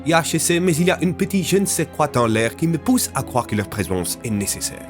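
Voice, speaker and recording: A man's voice: a little high, big pitch swings, a little fast, over music, voice pushed up. A man voicing a smug research director in an audio story. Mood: smug, insistent. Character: smug male research director with a Germanic touch.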